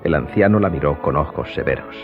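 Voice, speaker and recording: Very deep-voiced male radio drama narrator. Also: a little fast. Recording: slightly dull audio, music behind.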